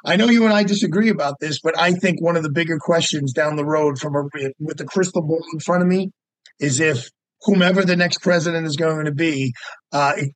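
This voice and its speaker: A man's voice: a little high, a little fast, dry recording, low-quality recording. A man appearing as a lawyer guest in a radio interview, talking through his ideas aloud in casual chat.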